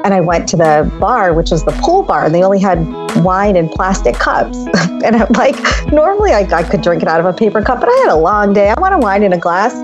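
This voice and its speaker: A lively woman in her forties, a guest who tells her stories with candor and plenty of laughter. Her voice sits at an ordinary middle pitch, with faint music under it. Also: a little fast. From Boston, USA. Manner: lively, laughs often, acts out dialogue. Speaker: interview guest, interior designer. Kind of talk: casual candid chat.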